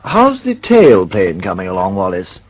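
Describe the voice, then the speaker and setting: A man's voice: sits low, moderate pace, exaggerated voice. An actor in an old radio drama playing a gossipy, mocking male office colleague with a scoffing manner. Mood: teasing. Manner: chuckling, animated, scoffing.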